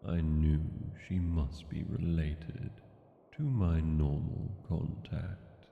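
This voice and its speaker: A man playing a brooding, regretful dragon king in a fantasy audio roleplay, speaking in solemn, deliberate phrases with a deep, gravelly voice. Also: fairly even intonation, roomy sound, big-room sound, narrow, tinny audio, a little hiss or hum, full character voice. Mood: rueful awe. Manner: slow, deliberate, solemn phrasing with long pauses. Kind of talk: scripted fantasy audio roleplay, intimate monologue.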